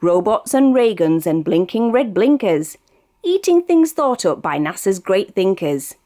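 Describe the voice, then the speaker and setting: Female British storyteller for a rhyming picture book; expressive, sing-song delivery with a wide pitch range. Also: a little slow. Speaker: storybook reader. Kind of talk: read-aloud of a rhyming children's picture book.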